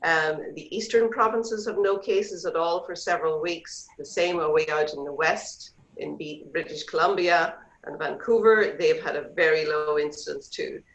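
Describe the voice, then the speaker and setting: A woman's voice: sits low, moderate pace, few pauses. A middle-aged woman with an Irish accent, a real estate agent talking chattily and loosely as a guest on a video call. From Ireland. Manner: chatty, relaxed, hesitant with fillers. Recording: roomy, dull video call audio.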